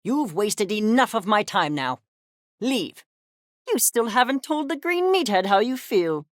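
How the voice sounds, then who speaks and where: A woman's voice: a little high, sing-song, measured pace, dry recording, clean studio sound. A woman voicing a haughty, eccentric furry creature scientist in a video game, speaking in a verbose, condescending and theatrical way with precise articulation. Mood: scornful, impatient. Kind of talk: video game character acting.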